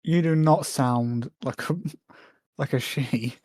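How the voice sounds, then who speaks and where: A man's voice: mid-range voice, slow pace, a little mellow, dry recording. A man co-hosting a podcast in casual chat, hesitant, with many ums and restarts. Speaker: podcast co-host.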